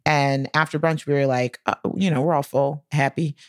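A woman's voice: low-pitched, moderate pace, dry recording, studio quality. A woman co-hosting a podcast, telling personal stories in a casual, giggly, slangy way. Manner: giggly, animated retelling with acted-out quotes; informal, slangy, peppered with like and swearing. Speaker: podcast co-host. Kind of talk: casual personal storytelling chat.

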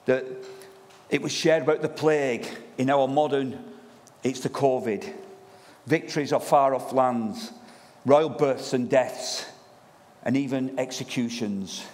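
A man preaching in a church, loud and lively, chatting with the congregation as their pastor. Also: mid-range voice. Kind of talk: sermon. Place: church hall. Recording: long reverb of a church hall.

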